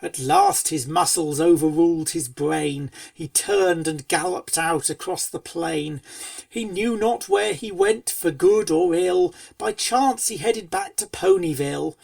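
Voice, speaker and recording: A woman reading scripted storybook narration in rhyming verse, with a theatrical, measured cadence. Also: fairly low pitch, big pitch swings, creaky, a little mellow, dry recording, recorded from a distance. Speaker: fan fiction reader and narrator.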